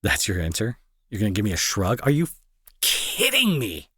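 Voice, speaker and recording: A man acting in an intimate audio roleplay as a gruff young bully who is secretly worried and protective, talking to the listener with sarcasm and exasperation. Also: fairly low pitch, wildly swooping pitch, normal speed, pushed and bright, no room sound, clean studio sound, exaggerated voice. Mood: exasperated, scolding.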